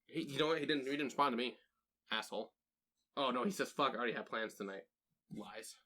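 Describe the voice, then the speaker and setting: A man trading quick jokes and teasing remarks with his podcast co-host, words tumbling out at a brisk clip. His voice sits at a plain middle pitch. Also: pushed and bright. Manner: joking, teasing banter. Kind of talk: casual chat.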